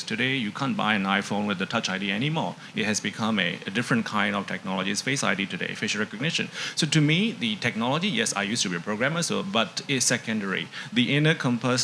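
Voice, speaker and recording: Gravelly man on a panel stage. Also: on the low side, bright, forceful tone, a little hiss or hum. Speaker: entrepreneur panelist. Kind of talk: panel discussion answer. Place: indoor event stage with audience. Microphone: handheld microphone.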